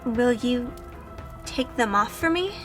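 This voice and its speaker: Woman acting a timid, reverberant high-voiced young woman. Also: lively intonation, slow pace, a bit bright. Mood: nervous, shaken. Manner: hesitant, anxious. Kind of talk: audio drama acting and first-person narration. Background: music.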